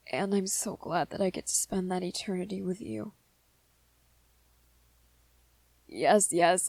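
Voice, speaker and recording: A woman voicing a gentle, lovestruck young vampire man in a romantic ASMR roleplay, earnest and hesitant, with small stammers. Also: lowish voice, no room sound. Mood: affectionate, shy.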